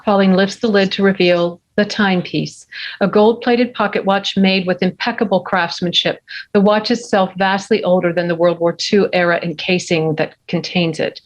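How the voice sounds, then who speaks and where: A woman's voice: medium pitch, fairly even intonation, normal speed, low-quality recording. A woman reading scene headings and action lines as narrator at a script table read. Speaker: stage direction narrator.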